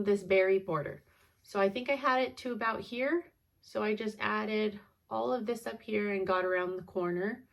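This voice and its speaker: A woman's voice: mid-range voice, gravelly. A stuffed-up woman in casual, rambling chat about her stitching projects, chatty and apologetic. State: congested, stuffed up. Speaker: cross-stitch vlogger.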